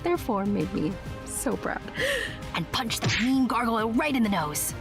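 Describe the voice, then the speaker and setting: A woman's voice: on the high side, a little slow. A woman playing a small, proud winged secret agent who narrates an audio drama expressively and earnestly. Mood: proud, excited. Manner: expressive, self-important storytelling. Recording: music behind the voice.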